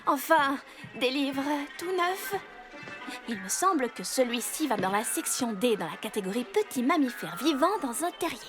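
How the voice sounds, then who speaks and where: A woman's voice: sits high, sing-song, moderate pace. A woman playing a cheerful, tidy young fox girl in a children's cartoon, explaining things chirpily and politely reassuring. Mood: reassuring, strained politeness. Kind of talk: dubbed children's cartoon acting. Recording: music behind the voice.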